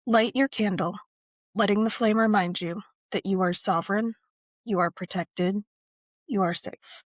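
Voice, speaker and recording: Slow-paced woman guiding a meditation. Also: medium pitch, no room sound, studio quality. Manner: measured, deliberate phrasing with frequent pauses. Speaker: guided meditation leader. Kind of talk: scripted guided meditation, ritual narration.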